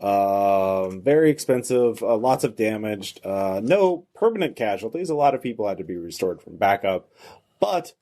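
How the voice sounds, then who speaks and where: A man hosting a tabletop game as game master, narrating off the cuff in a halting, hesitant way. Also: lowish voice, lively intonation, dark tone.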